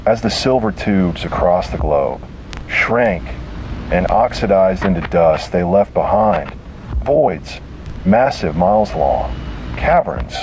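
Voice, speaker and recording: A deep-voiced man delivers grave, dramatic horror narration. He moves slowly and haltingly, leaving heavy pauses between short phrases. A clear wash of noisy tape-like hum sits on the recording. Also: animated, a little mellow.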